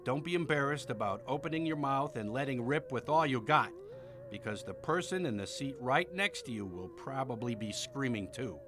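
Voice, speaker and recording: A man's voice: medium pitch, expressive, normal speed, background noise, close to an everyday voice. A man playing a pompous, theatrical old-time horror showman narrating a spoof movie trailer. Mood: grandiose, sly.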